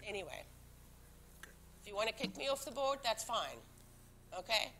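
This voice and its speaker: A woman's voice: on the high side, very animated, moderate pace, bright, forceful tone, a little hiss or hum. A woman serving as town commissioner, speaking English as a second language, who talks emphatically and defensively with many restarts at a public board meeting. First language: not English. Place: town council meeting room.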